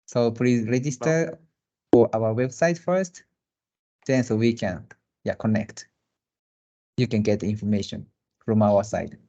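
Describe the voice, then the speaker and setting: A man on a video call answering webinar questions in halting, filler-heavy non-native English. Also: fairly low pitch, dark tone, lo-fi sound. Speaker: company representative presenting jobs in Japan.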